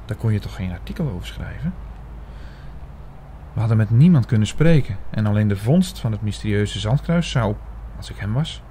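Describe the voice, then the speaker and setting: Audio drama lead: male actor as suspicious, reflective investigator-narrator, mellow tone, some background noise. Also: on the low side, animated, normal speed, lightly acted. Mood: reflective, doubtful.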